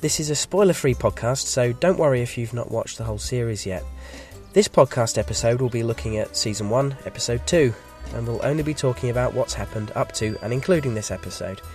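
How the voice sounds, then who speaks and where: Man, podcast host, slightly croaky from a cold, measured scripted review, mid pitch, faint music behind. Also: a little mellow.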